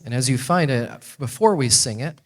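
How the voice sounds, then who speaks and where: A native English-speaking man speaking as a guest church teacher, in short halting phrases with pauses left for an interpreter. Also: medium pitch, expressive.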